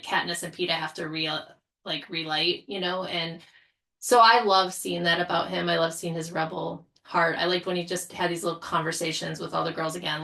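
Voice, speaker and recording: A woman guest on a casual podcast panel, thinking aloud in an animated, informal way. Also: lowish voice, creaky. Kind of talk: casual chat.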